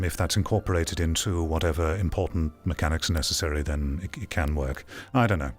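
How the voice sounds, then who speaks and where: A man giving rambling, opinionated livestream commentary as he reads and answers chat. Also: lowish voice. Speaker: streamer.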